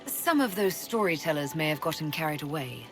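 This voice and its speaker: A woman playing an earnest, diplomatic heroine in a fantasy game, with a measured manner. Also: low-pitched, big pitch swings, over music.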